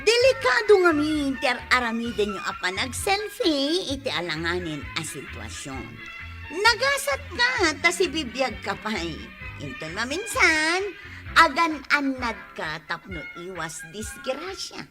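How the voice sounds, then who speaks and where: A woman's voice: high-pitched, wildly swooping pitch, a little slow. A woman narrating and announcing a radio drama, with emphatic, sing-song, scripted theatrical delivery. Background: music.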